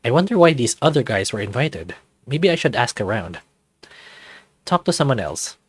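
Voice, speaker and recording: A man's voice: mid-range voice, sing-song, moderate pace, husky, dry recording. A man narrating a visual novel playthrough as the channel host, reading the text aloud.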